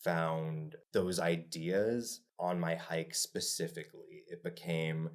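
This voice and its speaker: A man from the Czech Republic who grew up in Los Angeles, a music video director talking as a podcast guest in a relaxed, reflective way with frequent "like" fillers. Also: deep voice, takes it slowly. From Czech, raised in Los Angeles. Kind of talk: casual interview conversation.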